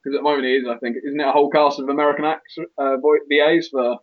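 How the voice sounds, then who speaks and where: A man's voice: a little high, flat delivery, racing, narrow, tinny audio. A man talking casually as co-host in a podcast discussion.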